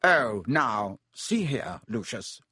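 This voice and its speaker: A man's voice: mid-range voice, sing-song, slow pace, sharp tone, breathy edge, dry recording, studio quality, full character voice. A man voicing an anxious, ineffectual storybook official who hesitates and stammers in a flustered way. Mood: alarmed.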